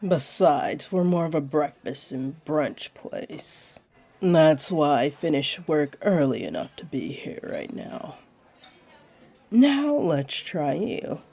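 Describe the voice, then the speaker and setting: Woman acting a slow, mellow, flirty jaguar woman. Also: sing-song, vocal fry, slightly rough, heavily acted. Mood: inviting, playful. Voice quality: deep, mellow voice. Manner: slow, teasing, soothing. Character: flirty, comforting female jaguar beastling restaurant owner. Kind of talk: roleplay audio acting.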